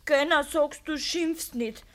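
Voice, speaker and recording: An actress playing a sulky, defiant young peasant daughter in a radio play, speaking tersely with a Bavarian accent. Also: female voice, sits high, takes it slowly, fairly bright tone. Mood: subdued, sulky. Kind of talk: naturalistic dialect radio drama acting.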